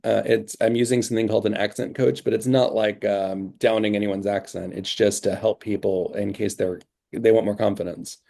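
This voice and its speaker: Young male host, hesitant and filler-heavy, low mellow voice. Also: steady tone, fairly quick, vocal fry. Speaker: interviewer, host. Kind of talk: casual interview questions.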